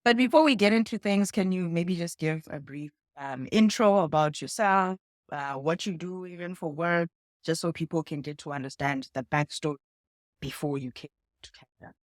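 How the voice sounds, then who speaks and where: A woman's voice: on the low side, normal speed, no echo, clean studio sound. A woman with a South African accent, from South Africa, hosting a conversational interview for her video channel. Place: indoors in a home.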